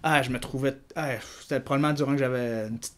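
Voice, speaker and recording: A man's voice: medium pitch, fairly even intonation, fast talker, fairly bright tone. A man with a Canadian French accent, a guest chatting casually on a podcast, talkative and self-mocking. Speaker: podcast guest, video producer. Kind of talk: casual conversational chat.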